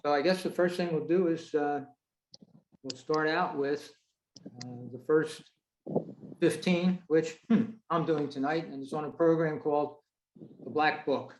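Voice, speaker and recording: Guy hosting a zoom talk, higher-ish voice, echoey room, kinda halting and measured. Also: a little hiss or hum. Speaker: host of an online club meeting, presenter. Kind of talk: informal spoken presentation from notes. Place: indoors on a video call. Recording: roomy, echoey video-call audio.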